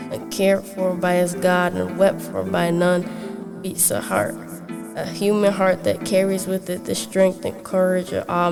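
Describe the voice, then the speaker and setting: A girl in her early teens giving a scripted literary reading as a student, with guitar behind. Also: fairly low pitch. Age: young teenager. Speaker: student reading own writing. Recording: plucked guitar music behind the voice. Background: plucked guitar music.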